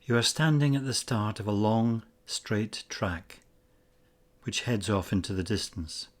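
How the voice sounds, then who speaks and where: A man narrating a scripted guided meditation in a measured, instructional way with pauses between phrases. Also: on the low side.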